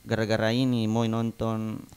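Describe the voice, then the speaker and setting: A man with a Bugis-Makassar Indonesian accent, recounting things casually as an interview guest in a halting way, repeating phrases. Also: fairly low pitch, completely flat delivery, crowd noise. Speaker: podcast guest.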